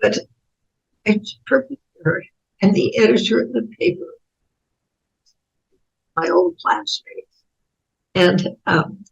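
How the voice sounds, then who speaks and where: An 88-year-old American woman with a raspy voice, telling reflective personal stories as an interview guest in halting, thoughtful phrases. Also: a little high, big pitch swings, highs cut off. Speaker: interview guest, author and speaker.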